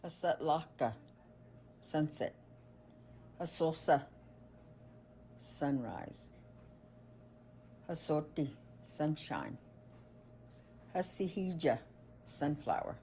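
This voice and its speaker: Slow, low-voiced recorded language speaker. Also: animated, a little mellow. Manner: slow, deliberate, low-pitched word list. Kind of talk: slow word-by-word pronunciation recording. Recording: played-back recording, faint ambient noise.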